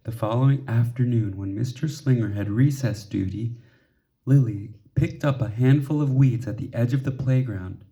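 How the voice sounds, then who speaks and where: A man reading a children's picture book aloud in an expressive storytelling way. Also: medium pitch, moderate pace, gravelly. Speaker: storybook reader.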